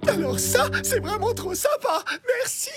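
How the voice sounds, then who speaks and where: An actor voicing a gullible, gluttonous cartoon wild boar who poses as a cat, naive and over-excited. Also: very high voice, unhurried, full character voice. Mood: naive, grateful. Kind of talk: cartoon dub acting. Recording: loud cartoon music behind the voice.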